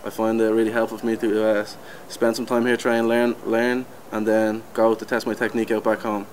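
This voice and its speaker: Irish man in his twenties, low flat delivery, steady and earnest. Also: fairly quick, slight background noise, rough recording. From Ireland. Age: 20s. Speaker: interviewee. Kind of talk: casual interview answers.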